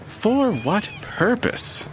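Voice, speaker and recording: A man acting in an audio drama as a detached, formal narrator who speaks deliberately and matter-of-factly. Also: high-pitched, sing-song, faint music behind, full character voice, low-quality recording. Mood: cool, formal.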